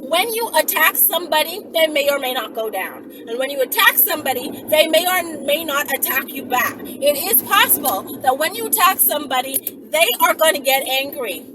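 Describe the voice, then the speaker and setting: Female vlogger, very high voice, emphatic and foul-mouthed casual storyteller, recorded away from the mic. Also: normal speed, few pauses. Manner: animated, emphatic, sweary. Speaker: channel host. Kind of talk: casual self-defense storytelling, acted-out scenario. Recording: distant mic with clear background noise.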